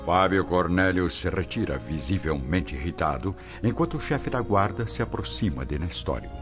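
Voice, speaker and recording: A man with a Brazilian accent narrating a scripted radio drama in a formal, measured storytelling way. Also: low-pitched, expressive. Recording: muffled recording under clear music.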